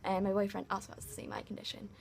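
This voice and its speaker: A woman's voice: very fast, creaky, a little hiss or hum. Chatty young woman telling a story. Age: young adult. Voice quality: high-pitched voice. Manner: chatty, many "like" fillers, animated retelling. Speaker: vlogger. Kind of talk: casual storytelling to camera.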